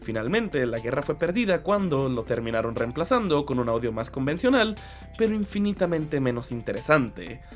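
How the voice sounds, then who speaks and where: Male narrator, 20s, comic video essays, mock-serious and sarcastic, highly expressive delivery. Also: moderate pace. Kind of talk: humorous scripted narration. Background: background music.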